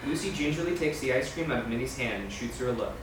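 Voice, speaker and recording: A man's voice: mid-range voice, animated, quick pace. A man reading screenplay scene directions aloud as scripted narration at a table read. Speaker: stage directions reader. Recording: very echoey room, distant mic, noisy.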